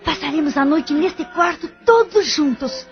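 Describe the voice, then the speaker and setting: A woman's voice: high-pitched, measured pace, soft background music. An actress playing a worried, protective mother in an audio drama. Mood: soothing. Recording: dull lo-fi audio drama recording.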